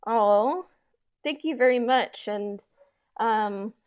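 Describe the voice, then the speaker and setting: A woman's voice: a little high, takes it slowly, smooth, muffled recording. A young woman talking casually about her creative project, tentative and reflective, often saying "like" as she thinks. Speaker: creator of a fan audio drama. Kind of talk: casual conversation.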